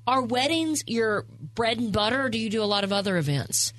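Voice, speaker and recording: A woman's voice: fairly high pitch, lively intonation, fairly quick, a bit bright, no echo, rough recording. A woman interviewing guests conversationally as a radio host, on a close studio microphone.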